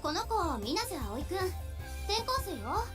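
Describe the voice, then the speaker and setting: A woman's voice: very high voice, sing-song, fairly quick. A woman voicing a confident, playful teenage schoolgirl with a teasing, sassy manner in a visual novel. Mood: cheerful, confident. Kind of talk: Japanese visual novel voice acting. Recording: game audio with music behind. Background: game music.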